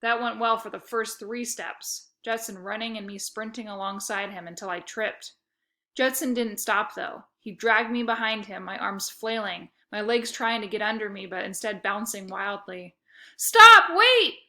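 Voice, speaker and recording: A woman reading a children's novel aloud with a lively, expressive storytelling manner. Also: medium pitch, normal speed. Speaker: reader.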